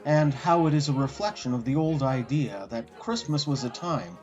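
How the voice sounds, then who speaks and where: A man hosting with scripted documentary narration, delivered in a wry, articulate storyteller's way with deliberate emphasis. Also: medium pitch, a little mellow, lo-fi sound, faint music behind. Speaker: host and narrator.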